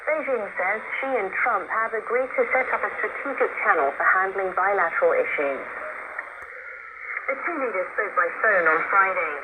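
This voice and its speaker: Female news anchor, formal measured read, very noisy shortwave broadcast. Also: medium pitch. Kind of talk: scripted news reading. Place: broadcast studio, heard over shortwave radio.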